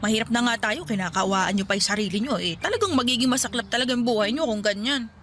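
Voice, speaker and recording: Actress as a bright high-voiced bossy young Filipina. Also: female voice, a little hiss or hum, lightly acted. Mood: preachy, upbeat. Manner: brisk, teasing, preachy banter. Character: cheerful bossy young woman, poor but hopeful street vendor. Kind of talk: Filipino radio drama acting.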